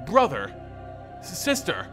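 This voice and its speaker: A man voicing an honorable, stern warrior knight in a dramatic fantasy story, speaking forcefully through gritted teeth. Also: a little high, wildly swooping pitch, slow pace, faint music behind, full character voice. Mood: shocked, stern. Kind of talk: dramatic fantasy audiobook character voice.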